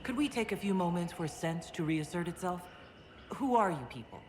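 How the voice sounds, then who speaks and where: A voice actor playing the protagonist leader, who speaks in a measured, questioning way. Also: medium pitch, animated, a little hiss or hum, close to an everyday voice. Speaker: player protagonist, the Herald.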